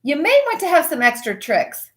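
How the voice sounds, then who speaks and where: A woman teacher reading a children's picture book aloud and chatting to pupils, with expressive, emphatic delivery and deliberate pauses. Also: high voice.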